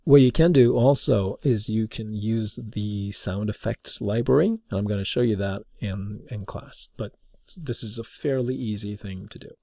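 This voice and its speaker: A man giving an informal tutorial as a teacher, explaining in a halting way. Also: on the low side, vocal fry, mellow, no echo. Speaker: teacher demonstrating audio production.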